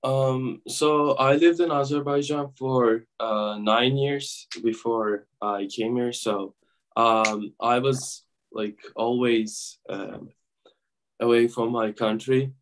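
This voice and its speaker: A young man from Turkey, a guest musician, answering interview questions softly with many fillers and pauses. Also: mid-range voice, fairly even intonation, rough recording. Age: young adult. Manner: soft-spoken, full of fillers and pauses.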